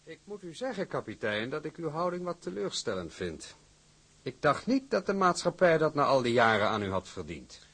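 An actor playing a cautious, courteous shipping director in a period drama, formal and sceptical. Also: male voice, fairly high pitch, very animated, moderate pace, a bit bright, breathy edge, heavily acted. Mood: offended, disappointed. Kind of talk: acted period drama dialogue.

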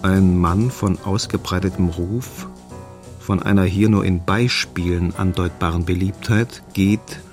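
Casting: male literary reader for a radio feature, very low register, faint music underneath. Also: fairly even intonation, a little slow.

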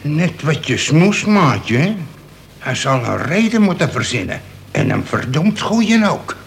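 A man's voice: fairly high pitch, sing-song, takes it slowly, creaky, exaggerated voice. An actor in a radio play, a man playing a crafty, slangy convict with a streetwise way of talking. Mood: emphatic. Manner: streetwise, colloquial. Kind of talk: Dutch radio play acting. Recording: noisy old radio drama recording.

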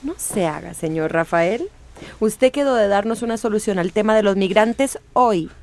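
An actress playing an insistent, defiant community activist in a radio drama, firm and confrontational. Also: female voice, mid-range voice, moderate pace, darkish tone, slight background noise, natural voice.

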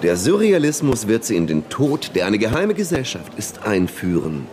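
An actor playing a flamboyant, impulsive surrealist poet in a radio play, talking theatrically and urging others on. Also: male voice, fairly low pitch, huge pitch swings, moderate pace, darkish tone. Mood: grandiose, excited.

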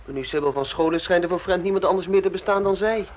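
An actress playing a naive, eager young gossip in a radio play. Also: female voice, fairly low pitch, quick pace, darkish tone, slight background noise, natural voice. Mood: eager, gossipy.

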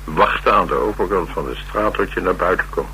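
An actor with a Netherlands Dutch accent playing a troubled, blunt ex-soldier and restless drifter in a radio drama, wry and halting in delivery. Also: male voice, low-pitched, pushed and bright, poor audio. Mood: wry, forward. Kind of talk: radio drama dialogue acting. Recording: radio drama with clear hiss and hum.

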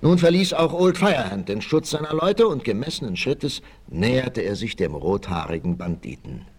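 A man's voice: medium pitch, sing-song, normal speed, husky. A man narrating a 1960s radio adventure as scripted, dramatic storytelling. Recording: 1968 vinyl radio play, noisy.

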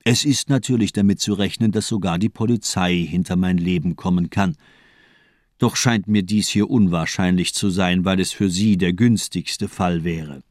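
A man playing a cold, cynical, calculating murderous doctor in a German radio crime drama, eloquent and deliberate, with coolly ironic phrasing. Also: deep voice, a little mellow, no room sound, clean studio sound, close to an everyday voice. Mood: coolly analytical.

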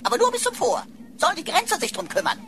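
A woman's voice: very high-pitched, huge pitch swings, fast talker, background noise, heavily acted. An actress playing a cheeky, playful female computer sidekick in a sci-fi radio play, sardonic and theatrical, fond of wordplay. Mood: sarcastic.

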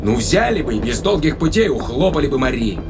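A man's voice: mid-range voice, big pitch swings, a little fast. A man acting a skeptical, defiant spaceship crewman in a science-fiction audio thriller, speaking in a probing, sardonic way. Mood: exasperated. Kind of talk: dramatized sci-fi thriller audio play. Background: dramatic music.

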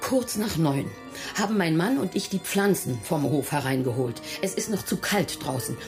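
A woman's voice: deep voice, animated, moderate pace, music in the background. An actress playing a middle-aged, plain-spoken school caretaker in a radio crime drama, scornful and indignant as she speaks. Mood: defensive.

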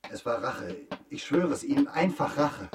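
An actor playing a fearful, guilt-ridden manservant in a German radio drama, husky and agitated as he confesses a vendetta. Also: medium pitch, sing-song, normal speed, background noise, close to an everyday voice. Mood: agitated, haunted.

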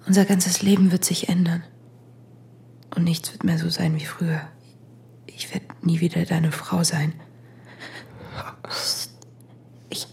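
An actress playing a shaken, wounded wife in a radio drama, whispering breathily, weary but resolute. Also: female voice, on the low side, level tone, normal speed, gravelly, pauses often, dark tone. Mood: trembling, resolute. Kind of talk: German radio drama acting.

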